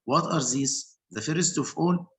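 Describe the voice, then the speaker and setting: Measured man explaining teaching steps. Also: fairly high pitch, a bit bright. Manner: measured, explanatory, with pauses and restarts. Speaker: English teacher. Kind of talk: online panel discussion.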